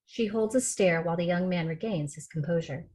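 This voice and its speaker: Lower-voiced woman narrating a script. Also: fairly quick, a little mellow. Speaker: narrator reading stage directions. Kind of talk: scripted narration at table read. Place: remote video call rehearsal.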